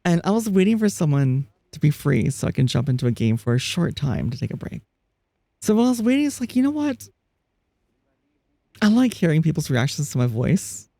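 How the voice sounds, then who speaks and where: Live streamer, casual chat, gravelly edge, dry close sound. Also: mid-range voice, sing-song, moderate pace, warm tone, no echo. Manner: chatty, laughs often, reads chat aloud; frequent restarts and fillers.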